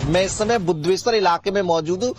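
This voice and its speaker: A man's voice: high voice, fast, over music. A man reporting from the field in an explanatory to-camera style. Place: outdoors in front of a mosque.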